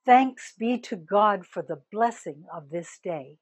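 Slow, husky older woman preaching. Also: medium pitch, expressive, dry recording. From Canada. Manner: slow, deliberate preaching with emphatic rises on key words. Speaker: minister. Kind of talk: sermon.

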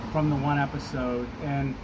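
A man's voice: medium pitch, level tone, moderate pace, very noisy. A man in his fifties hosting a casual outdoor interview, talking in a rambling way with many fillers and laughs. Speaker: vlog host. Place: outdoors in front of a shop on a street.

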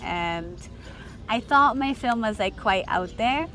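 A woman's voice: mid-range voice, takes it slowly. A young woman with a Japanese accent, speaking frankly and casually as a filmmaker guest in an interview, using many fillers. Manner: lively, frank, many fillers like "like" and "you know". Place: festival setting. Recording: noisy ambience behind.

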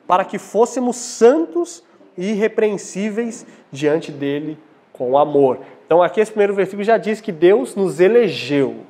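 High-pitched young Brazilian man preaching. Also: darkish tone. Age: young adult. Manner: didactic, pausing to quiz listeners with questions. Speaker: preacher giving a lecture at a church congress. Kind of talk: Bible teaching sermon with scripture reading. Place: small indoor church hall at a lectern. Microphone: lapel.

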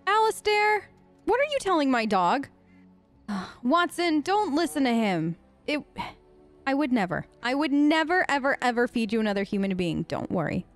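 High, animated woman gamer chatting in character. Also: moderate pace, faint music behind. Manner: chatty, musing aloud. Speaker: let's play host, role-playing her game character. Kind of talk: casual in-character game commentary.

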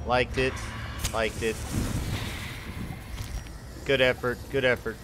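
A man's voice: mid-range voice, level tone, slow. A man with a head cold giving casual, chatty commentary while playing a game, cheering his characters on. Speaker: gaming channel host. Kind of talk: casual let's-play commentary. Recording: voice over game music.

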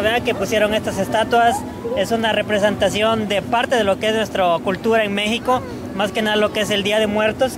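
A young Mexican man from Atlixco speaking earnestly and in a measured way, often adding tag questions, into an interviewer's mic outdoors at night. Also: high-pitched. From Atlixco, Puebla, Mexico. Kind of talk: casual street interview. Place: busy city square outdoors at night. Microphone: interviewer's handheld mic. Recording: crowd and street noise outdoors.

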